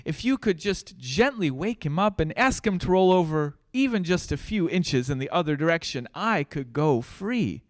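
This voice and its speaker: A man doing a high pleading little mouse. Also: expressive, moderate pace, few pauses, no room sound, studio quality, close to an everyday voice. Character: small stuck male mouse, pleading.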